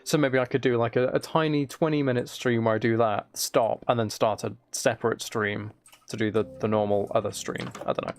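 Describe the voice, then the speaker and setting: A man livestreaming a game with a flat, mellow voice, rambling casually and softly to his viewers in a low-key way. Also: fairly quick. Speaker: live streamer. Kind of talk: casual gaming livestream chat, soft ASMR-like. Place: desk at home, live stream.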